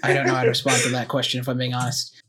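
A man's voice: mid-range voice, completely flat delivery, very fast, bright, forceful tone, breathy edge. A man chatting sheepishly with friends during a tabletop game session.

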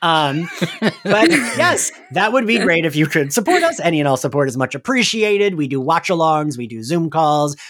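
A man's voice: on the high side, sing-song, normal speed, a bit bright. A man hosting a casual podcast chat, talking in a chatty, self-deprecating way. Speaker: podcast host.

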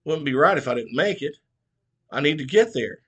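A man's voice: on the high side, expressive, normal speed, fairly bright tone, breathy edge. A man voicing a skinny, flustered trailer-park man, whiny and worked up.

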